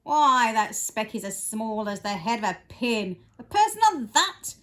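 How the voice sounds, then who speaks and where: Woman voicing a scornful little kangaroo, sneering, storybook, high voice. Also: big pitch swings, a little slow. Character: scornful young female kangaroo in a pouch.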